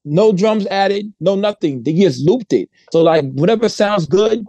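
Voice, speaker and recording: A man hosting a podcast, telling stories in a slangy, animated, casual way. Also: high voice, measured pace, vocal fry, no room sound. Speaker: podcast host.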